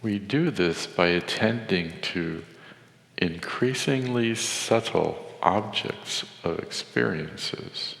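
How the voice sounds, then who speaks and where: A man leading a guided meditation in a measured, contemplative way, with deliberate pauses. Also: low-pitched, gravelly, fairly bright tone. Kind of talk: guided meditation with Sanskrit chanting. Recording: echoey hall with long reverb.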